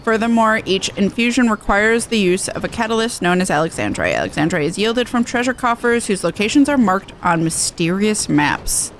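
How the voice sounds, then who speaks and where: A woman's voice: medium pitch, very animated, moderate pace, hardly any pauses, slight background noise. A woman game streamer chatting casually and reading quest text aloud.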